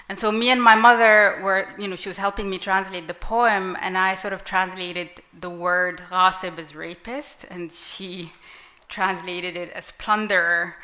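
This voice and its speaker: Bright-voiced woman, Arabic native speaker. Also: medium pitch. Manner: reflective, hesitant, many fillers and restarts. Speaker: panelist author. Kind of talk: live panel discussion. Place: literary event with audience.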